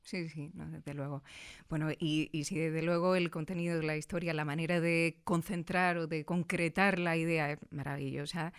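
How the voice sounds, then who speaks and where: Woman doing an interview, slightly low voice, emphatic and effusive. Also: steady tone, moderate pace, smooth. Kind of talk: conversational interview. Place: recording studio.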